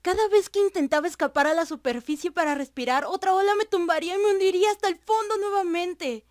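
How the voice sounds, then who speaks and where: A woman's voice: extremely high pitch, quick pace. A woman voicing a young female animator in a heartfelt, dramatic first-person confession for a fan dub. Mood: anguished.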